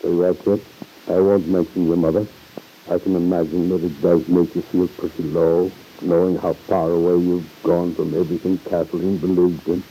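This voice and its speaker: Deep, dark-voiced fatherly uncle. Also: little pitch movement, a little hiss or hum, rough recording. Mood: grave, concerned. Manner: measured, earnest, formal phrasing. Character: earnest, fatherly uncle, gravely reproving his niece. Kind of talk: old-time radio drama acting. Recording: vintage radio recording.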